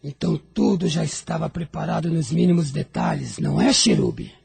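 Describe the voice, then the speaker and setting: Very gravelly, raspy dragon lord. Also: male voice, fairly high pitch, moderate pace, darkish tone, exaggerated voice. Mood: defiant, sardonic. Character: Dragon, proud resentful dark spirit lord of rebels. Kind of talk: audio drama acting.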